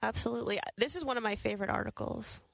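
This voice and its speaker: A woman's voice: fairly high pitch, very fast, vocal fry, no room sound, clean studio sound. A woman hosting a financial advice show, explaining money matters in a conversational style.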